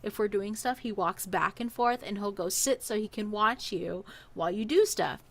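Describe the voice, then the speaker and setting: A woman's voice: medium pitch, moderate pace. Casual, chatty woman telling a story. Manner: animated, acts out quoted dialogue. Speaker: podcast guest storyteller.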